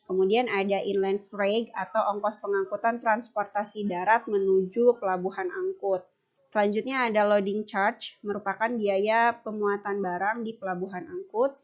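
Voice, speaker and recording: A young adult woman giving an explanatory class presentation as a university student. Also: medium pitch. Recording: dull lo-fi recording.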